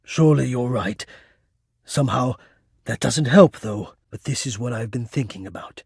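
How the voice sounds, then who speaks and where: Gravelly, anxious lovelorn attic spirit. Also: male voice, mid-range voice, a little mellow, no echo, studio quality. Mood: earnest, hesitant hope. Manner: theatrical, florid, halting and earnest. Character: anxious, lovelorn, poetic male ductwork spirit hiding in attic. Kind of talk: video game character acting.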